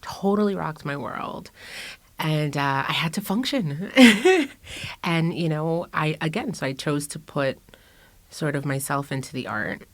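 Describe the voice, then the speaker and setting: A woman's voice: deep voice, a little slow, no room sound. A middle-aged woman guest in a casual interview, speaking reflectively with fillers and restarts. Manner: reflective, many fillers and restarts, laughs often. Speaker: guest artist.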